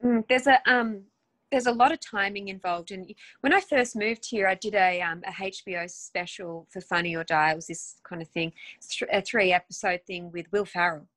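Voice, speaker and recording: An Australian woman in her thirties talks casually over a phone video call, rambling with ums and quick restarts. Her voice sits at a middle pitch, and the sound is dry and close with no room echo. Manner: chatty, animated, lots of ums and restarts. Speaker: guest actress. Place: home room, indoors.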